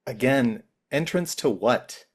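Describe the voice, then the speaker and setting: A man playing a bewildered, agitated captive in a script table read, demanding answers in an urgent, halting way. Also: mid-range voice, expressive. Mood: distressed, demanding.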